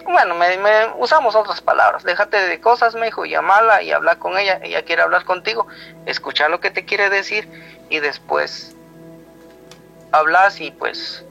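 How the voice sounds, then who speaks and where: High-voiced Guatemalan man recounting personal memories. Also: vocal fry, pushed and bright, lo-fi sound. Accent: Guatemalan Spanish. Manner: rambling, retells dialogue with frequent "he told me" tags. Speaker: guest telling a personal story. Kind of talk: personal storytelling in conversation. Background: music.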